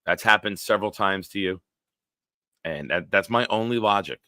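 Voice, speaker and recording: A man in his forties hosting a live-stream show, reading viewer comments aloud and bantering bluntly with a smooth, deep voice. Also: normal speed, bright, forceful tone, dry recording, studio quality. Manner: banters with chat, blunt and profane.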